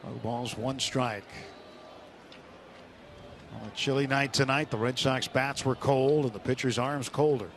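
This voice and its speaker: A man's voice: medium pitch, steady tone, measured pace, long pauses, slight background noise. A man calling a baseball game live on the radio as the play-by-play announcer. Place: stadium broadcast booth.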